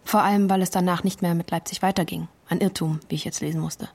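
A woman's voice: lowish voice, fairly even intonation, fast talker, darkish tone, husky. An actress playing a hurt, bitter wife who confronts her partner in a naturalistic radio play, controlled but accusing.

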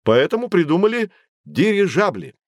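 An actor playing a knowledgeable, patient guide who teaches children in an educational audio drama, with a didactic, emphatic delivery. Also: male voice, high-pitched, big pitch swings, moderate pace, no room sound, clean studio sound. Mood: patient, explanatory.

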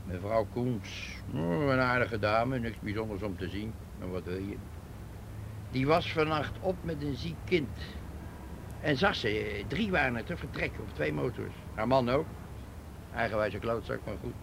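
A gruff police detective in a radio drama, speaking in a middle register. He is sardonic and scornful, over faint noise and outdoor traffic ambience. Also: mid-range voice, lively intonation, normal speed. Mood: wry, weary. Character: grumpy, sarcastic male police detective at an arson scene. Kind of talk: naturalistic Dutch radio play acting.

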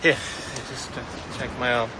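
A man's voice: mid-range voice, steady tone, normal speed, very noisy, natural voice. An actor playing a plain-spoken, dutiful man. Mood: helpful. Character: gruff, helpful man Royd.

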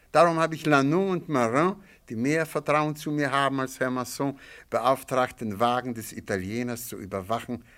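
Radio drama: male actor as an unruffled amateur detective, measured, courteous, ironic, mid-pitched. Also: fairly bright tone, close to an everyday voice. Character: shrewd, unruffled amateur sleuth, quietly ironic man. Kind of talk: radio play dialogue.

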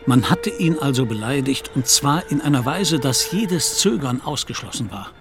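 Male narrator for literary radio drama, mid-pitched with plenty of creak, music underneath. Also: expressive, moderate pace. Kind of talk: scripted literary narration in a German radio play. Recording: music behind.

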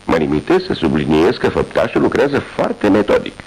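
A sharp, self-assured detective in an old radio drama, speaking briskly with a low, lively voice. The recording has the sound of an early-1980s radio studio. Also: male voice, slightly rough, heavily acted, rough recording.

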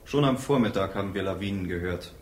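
Radio drama, male actor as fallen soldier telling of his death. Low-pitched, bitter, clipped phrases, noticeably echoing room. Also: natural voice. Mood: grim, matter-of-fact. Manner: terse, fragmentary, halting storytelling. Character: dead soldier bitterly recounting his fatal avalanche. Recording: roomy echo with faint noise.

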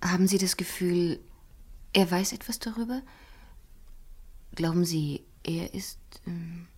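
A woman's voice: on the low side, takes it slowly, husky. An actress playing a self-possessed, guarded businesswoman in a radio crime play, articulate and matter-of-fact. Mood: hushed, uncertain. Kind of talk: 1970s radio play dialogue.

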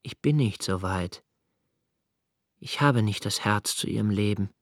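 An actor playing a brooding, solitary diarist in a radio play, with a measured, introspective delivery and an anguished, searching temper. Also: male voice, on the low side, no echo, clean studio sound. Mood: self-questioning. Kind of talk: literary monologue in a radio play.

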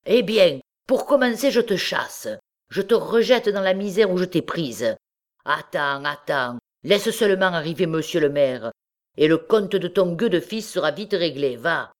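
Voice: medium pitch, expressive, moderate pace, close to an everyday voice. A narrator playing a furious, blustering man. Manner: blustering, threatening. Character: indignant, scornful accused man, harsh and bitter.

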